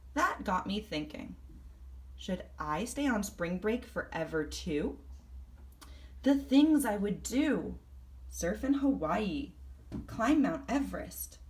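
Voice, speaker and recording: A woman reading a children's story aloud in first person, expressively and deliberately, acting out the tale. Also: medium pitch. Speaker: storybook reader.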